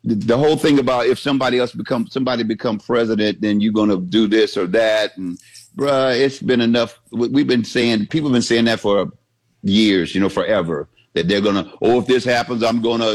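A man co-hosting a talk radio show, talking in a halting way with many restarts. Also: lowish voice. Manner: halting, restarts often, laughs easily. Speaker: radio co-host. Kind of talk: casual talk radio banter.

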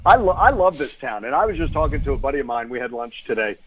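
A man's voice: mid-range voice, sing-song, fast, a little hiss or hum. A man speaking over a phone line as a guest sports announcer, giving casual, chatty and emphatic analysis in a radio interview. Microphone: phone call.

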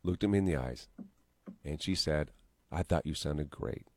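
A man's voice: very deep voice, normal speed, no echo. A middle-aged man hosting a radio morning show, bantering casually and telling stories with jokes that trail off. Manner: joking, storytelling, trails off quietly. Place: radio studio. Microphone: studio microphone.

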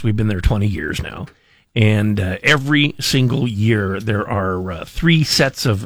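Gravelly low-voiced man, dry humor. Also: fairly bright tone, no echo. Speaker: radio show co-host. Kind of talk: casual morning radio chat.

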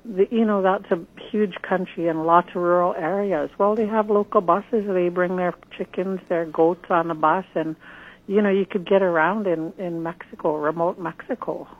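This is a middle-aged woman from northern Canada, speaking as a candidate in a radio interview. Her voice sits slightly low and a bit husky. She talks earnestly and plainly and often restarts her phrases. The sound is narrow and tinny, like a phone call. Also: fairly even intonation, normal speed. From northern British Columbia, Canada. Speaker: political candidate.

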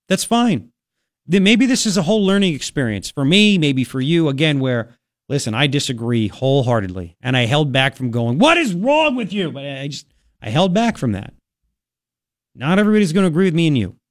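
A man's voice: fairly high pitch, very animated, fairly quick, no echo, clean studio sound. A man with a Long Island, New York accent hosting opinionated political talk radio, emphatic and colloquial, repeating phrases for effect.

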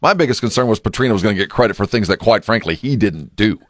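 A man hosting a conversational sports talk radio show, speaking in a deliberate, reflective way. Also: on the low side, lively intonation, dry recording, studio quality.

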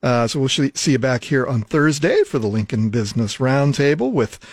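Lively Midwestern radio host. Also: male voice, medium pitch, moderate pace, dry recording. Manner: easygoing, many fillers and restarts. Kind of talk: casual radio talk. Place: radio studio. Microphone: studio microphone.

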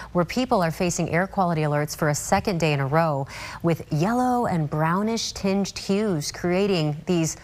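Female TV news anchor, somewhat low voice, measured read. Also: darkish tone. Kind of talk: news reading.

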